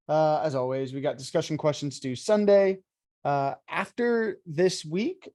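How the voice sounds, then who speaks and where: A man's voice: a little high, a little mellow, dry recording, clean studio sound. A man with a congested, nasal voice from allergies, giving a casual online coding lecture with lots of fillers and self-corrections. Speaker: coding bootcamp instructor.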